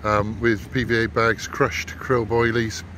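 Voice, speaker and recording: A man's voice: little pitch movement, measured pace, fairly bright tone. A man, talking casually to camera as an angling vlogger, in a hesitant, rambling way. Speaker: angler vlogger. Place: in a moving car, later outdoors by a lake. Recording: noisy, wind and road rumble.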